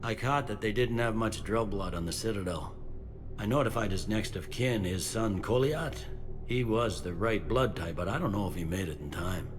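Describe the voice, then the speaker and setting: Casting: man as plain-spoken, tired but stubborn cop boss, deep register, blunt and folksy, game drama with score. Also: moderate pace, over music, lightly acted. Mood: grim, concerned. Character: plain-spoken, weary, stubborn male police commander. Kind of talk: video game dramatic acting.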